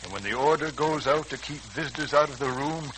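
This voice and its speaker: An actor playing a measured, fatherly senior doctor in a 1940s-style radio serial. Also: mid-range voice, a bit bright, close to an everyday voice, low-quality recording. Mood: calm, wry. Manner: measured, avuncular. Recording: old radio recording, clear hiss.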